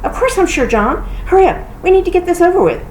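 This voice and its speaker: A woman's voice: very high-pitched, very animated, fast, darkish tone, pitched above the performer's own voice. A woman voicing a nervous, bossy rabbit with a snappish, impatient manner, in a storybook reading. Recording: roomy echo with clear noise.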